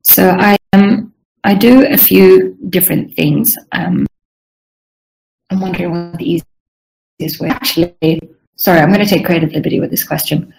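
A woman's voice: fairly low pitch, fairly even intonation, normal speed, a little mellow, poor audio. A young woman from Sweden and Southern Africa with a slightly strained throat, explaining her work as a game designer in an informal interview. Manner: frequent throat clearing. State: strained voice, needing to clear throat.

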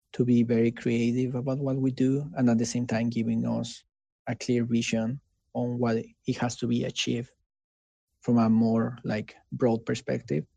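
A man with a Mexican accent and Spanish as his first language, answering casually in an interview in an earnest, halting way, often checking for agreement. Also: medium pitch, vocal fry, warm tone, no echo. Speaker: interviewee, game developer.

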